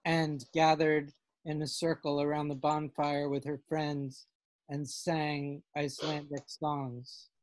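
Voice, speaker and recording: A man leading a casual class discussion over a video call, halting and full of restarts. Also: fairly high pitch, completely flat delivery, no room sound. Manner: halting, many fillers and restarts.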